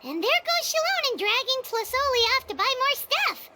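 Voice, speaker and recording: A woman voicing a small, chirpy, excitable childlike fairy companion in a video game, with a squeaky, eager delivery. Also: moderate pace, a little hiss or hum, exaggerated voice. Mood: chatty, eager. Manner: refers to self in third person, eager. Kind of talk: animated video game dialogue.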